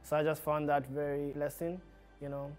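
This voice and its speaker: A man's voice: fairly high pitch, flat delivery, normal speed, darkish tone, soft background music. Casual young man from Zambia. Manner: casual, many "like" fillers. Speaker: soccer player. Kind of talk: documentary interview.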